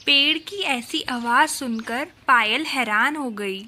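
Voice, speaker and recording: A woman's voice: sits high, takes it slowly, pushed and bright. A woman reading a scripted children's story as its narrator.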